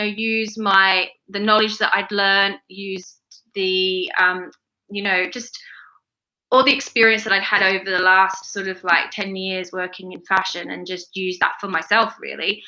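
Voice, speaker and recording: A woman's voice: medium pitch, sharp tone, dull audio. A woman in her forties with an Australian accent, talking casually as an interview guest, rambling with many fillers and restarts. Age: 40s. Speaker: interview guest, fashion brand founder.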